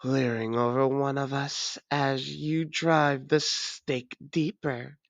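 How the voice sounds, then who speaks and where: A woman playing a proud, menacing vampire ruler in a dramatic audio roleplay, taunting and imperious in a deliberate delivery. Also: a bass voice, fairly even intonation, no room sound, exaggerated voice.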